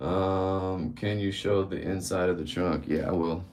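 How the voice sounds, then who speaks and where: A man's voice: sits low, fairly even intonation, measured pace, background noise. A man around fifty hosting a casual live-stream Q&A, candid and blunt, rambling and swearing casually. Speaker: livestream host answering viewer questions.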